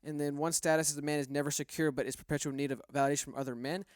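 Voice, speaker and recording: A man with a slightly high voice speaks rapidly in a dry, close recording. He hosts a casual panel debate, probing and disputing points as he goes. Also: flat delivery, no room sound. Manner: questioning, argumentative. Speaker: podcast panel host. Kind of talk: casual debate on a discussion podcast.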